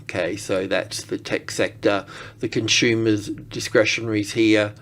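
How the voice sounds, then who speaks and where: A man giving unscripted market analysis as he works through charts, rambling and halting as he thinks aloud. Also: lowish voice, level tone, creaky, fairly bright tone.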